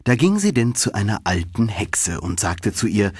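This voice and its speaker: A man narrating a fairy tale as a scripted, expressive audiobook reading. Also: lowish voice, normal speed, slightly rough, no echo, studio quality.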